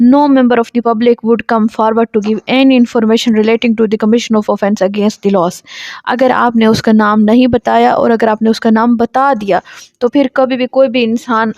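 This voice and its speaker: A woman's voice: fairly high pitch, a little mellow, dry recording. A woman with a Pakistani accent giving an explanatory lecture, reading out legal text and explaining it as a teacher. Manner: switches between Urdu explanation and slowly read English text. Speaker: law lecturer. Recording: dull lo-fi audio.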